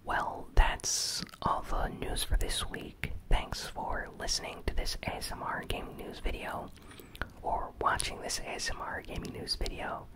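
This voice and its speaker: A host whispering a casual, conversational ASMR gaming news recap in a breathy, unvoiced whisper. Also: a little slow.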